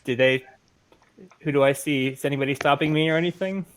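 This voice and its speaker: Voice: medium pitch, fairly even intonation, fairly quick. A player at an online tabletop game, casually describing their character's moves and asking the game master questions.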